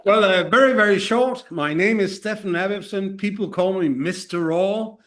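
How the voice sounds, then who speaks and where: A Danish-accented man in his forties chats frankly on a podcast. He pokes fun at himself and stumbles through fillers and restarts, and his voice sits a little high for a man. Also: normal speed, a bit bright. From Denmark. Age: 40s. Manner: frank and self-deprecating, many fillers and restarts. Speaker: podcast guest. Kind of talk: casual interview chat.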